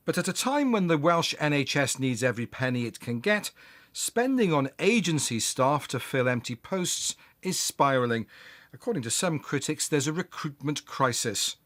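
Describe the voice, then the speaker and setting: A man delivers a scripted news report in the manner of a broadcast correspondent. His voice is somewhat high for a man, and the recording is dry with little echo. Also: a bit bright.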